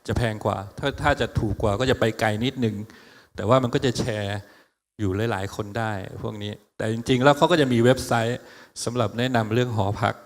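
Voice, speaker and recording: Quick-talking man in a lecture hall. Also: medium pitch. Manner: relaxed, chatty, with fillers and restarts. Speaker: lecture moderator and interpreter. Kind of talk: casual Thai and English Q&A talk.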